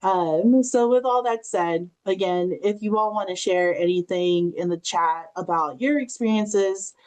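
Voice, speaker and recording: An American woman presenting an informal online training, speaking in a halting, reflective, conversational way with personal anecdotes. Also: medium pitch, darkish tone. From Southern California, United States. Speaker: family engagement specialist presenting a training session.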